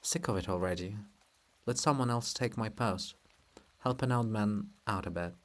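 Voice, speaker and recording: Seeking a male reader for a stage-farce statue of an old poet: weary, wry, brooding, measured delivery. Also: fairly low pitch, voice pushed down, natural voice.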